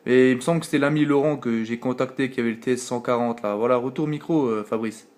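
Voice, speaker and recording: A man's voice: medium pitch, fast, a little mellow. Chatty man on amateur radio. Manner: chatty, repeats filler tags. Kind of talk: casual radio contact chat.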